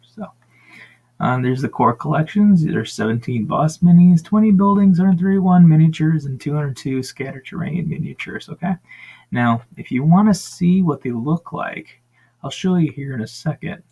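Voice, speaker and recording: A man with a raspy voice casually talking through crowdfunding pages for tabletop games, with lots of ums and uhs. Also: fairly high pitch, normal speed, muffled recording. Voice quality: frequent ums and uhs, relaxed rambling. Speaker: tabletop gaming channel host. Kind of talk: casual screen-share review.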